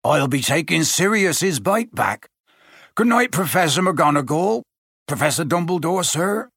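A man reading aloud and voicing a huge, gruff but soft-hearted man who talks in a choked, tearful way. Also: fairly high pitch, sing-song, measured pace, no echo, studio quality, pitched above the performer's own voice, full character voice. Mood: muffled. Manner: choked and sobbing. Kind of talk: audiobook character reading.

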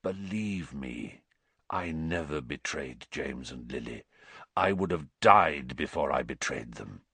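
A man voicing a gaunt, bitter fugitive with a croaky, hushed voice and a grave, intense manner in a story. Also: a bass voice, lively intonation, slow pace, no echo, voice pushed down, full character voice. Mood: grave, low.

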